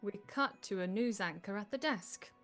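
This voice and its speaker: Woman narrator doing script directions, medium pitch, bit of music behind. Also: expressive, moderate pace, a bit bright. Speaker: narrator reading stage directions. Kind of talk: scripted narration at a live table read.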